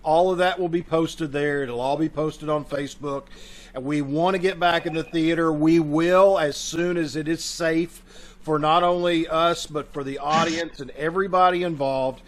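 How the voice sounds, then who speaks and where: Male community show host, voice on the higher side, informal announcements on a video call. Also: fairly even intonation, normal speed. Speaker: host of a community radio theater troupe's show. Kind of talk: casual spoken introduction and announcements.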